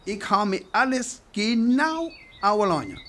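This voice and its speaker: A man's voice: sits high, very animated, takes it slowly, bright, forceful tone, a little hiss or hum, heavily acted. An actor with a North Frisian accent playing a bitter, agitated survivor in a radio play, rambling intensely about his schemes. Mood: brooding, agitated.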